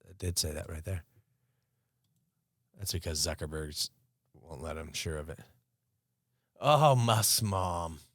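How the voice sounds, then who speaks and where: Gravelly low-voiced American man chatting. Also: slow, pauses often, no echo, clean studio sound. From United States. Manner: laid-back, swears freely, chuckles along. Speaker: podcast co-host. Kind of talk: casual chat.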